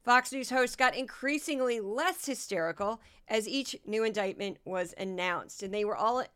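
Woman podcast host, sardonic commentary delivery, voice fading, smooth and higher-pitched. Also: normal speed, fairly bright tone. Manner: sardonic, talks to listeners directly. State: losing her voice.

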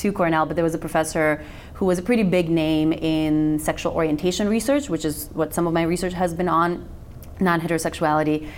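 A woman in her thirties, raised in Macedonia with Macedonian as her mother tongue, talks in a low voice as a podcast guest. She is a chatty, animated researcher. A faint hiss of noise sits under the recording. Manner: chatty and animated, quick laughs. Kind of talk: casual interview conversation.

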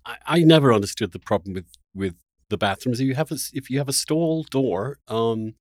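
A man's voice: mid-range voice, a little fast, dry recording. A middle-aged man talks as a podcast host in a spirited, casual discussion. He hesitates and circles back as he thinks aloud. The recording is close and dry, with very little room sound.

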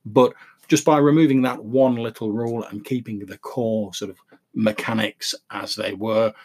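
A man hosting a podcast, talking reflectively in a measured, explanatory and courteous way. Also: on the low side, steady tone, darkish tone, slightly rough. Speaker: podcast host. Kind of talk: reflective solo podcast talk.

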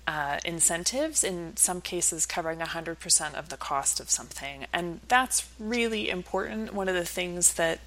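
A woman with a slightly low voice talks as an expert interview guest. She explains her views conversationally, sounding thoughtful and earnest. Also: pushed and bright, low-quality recording.

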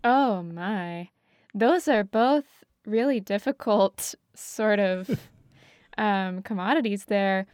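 A woman's voice: mid-range voice, slow, darkish tone, dry recording, clean studio sound. A woman on a podcast panel, chatting casually and thinking aloud.

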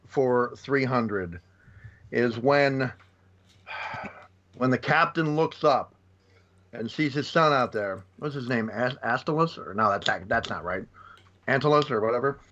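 A man, a podcast guest, retelling film scenes in a rambling, halting way with many restarts. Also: mid-range voice, fairly bright tone. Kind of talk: casual podcast chat. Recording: dull lo-fi.

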